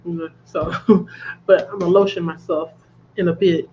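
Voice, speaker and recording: A woman's voice: lowish voice, steady tone, moderate pace, dull audio, a little hiss or hum. A congested woman chatting casually as host of a reading livestream and laughing at her own jokes. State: congested from a cold. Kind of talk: casual chat. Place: at home.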